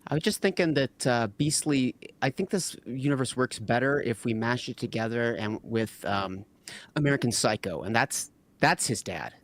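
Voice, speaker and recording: A man's voice: medium pitch, animated, normal speed. A man co-hosting a casual group chat, thinking aloud in an informal way. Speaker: co-host.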